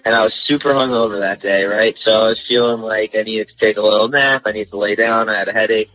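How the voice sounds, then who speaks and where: Bright-voiced young man, slangy. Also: lowish voice, steady tone, fast, no echo, faint music behind, low-quality recording. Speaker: radio show caller. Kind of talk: casual storytelling on a call-in radio segment.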